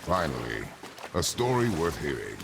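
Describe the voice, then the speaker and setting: Extremely deep, gravelly stern warrior. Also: male voice, big pitch swings, moderate pace, noisy background, heavily acted. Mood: stern scorn. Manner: terse, scornful. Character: stern, gruff, imposing male warrior, impatient. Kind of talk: video game dialogue.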